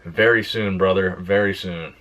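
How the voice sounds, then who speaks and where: A young man on a fitness channel, casually answering viewer questions in a plain, relaxed way. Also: deep voice, normal speed. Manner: relaxed, plain-spoken, reads questions aloud then answers. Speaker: fitness YouTuber. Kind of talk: casual Q and A vlog.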